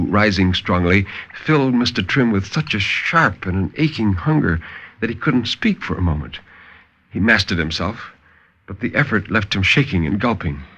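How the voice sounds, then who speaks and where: Expressive low-voiced man narrating old radio horror. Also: slightly rough. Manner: measured, expressive storytelling with dramatic swells and hushes. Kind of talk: dramatic scripted horror story narration. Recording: vintage 1950s radio recording.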